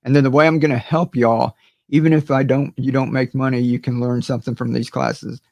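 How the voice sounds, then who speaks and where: A hoarse man hosting a gardening channel, chatting casually and rambling to his viewers with many fillers and repeated words. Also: medium pitch, level tone, brisk, a little mellow, dry recording. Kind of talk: casual livestream chat to viewers.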